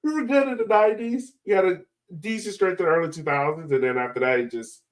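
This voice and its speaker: A man's voice: high-pitched, fast. A man in his thirties with an American accent hosting a casual podcast chat in a rambling, jokey, slangy way. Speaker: podcast host, interviewer.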